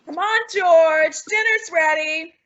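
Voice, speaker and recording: A high-pitched, unhurried radio sitcom wife, devoted and bravely holding back her worry. The sound is dull, like a vintage broadcast. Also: a bit bright, a little hiss or hum, exaggerated voice. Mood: brave, tender. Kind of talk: 1950s radio sitcom acting.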